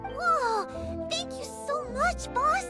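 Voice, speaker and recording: Game child: little girl, tiny high voice, slow, innocent, excitable. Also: over loud music, heavily acted. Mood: excited, admiring. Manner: wide-eyed, excitable. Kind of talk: video game character acting.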